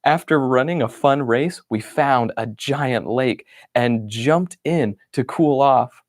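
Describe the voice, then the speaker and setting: A man's voice: mid-range voice, slow pace, no echo, clean studio sound, close to an everyday voice. A man voicing a cheerful, happy-go-lucky wooden stick who reads his diary with bubbly enthusiasm.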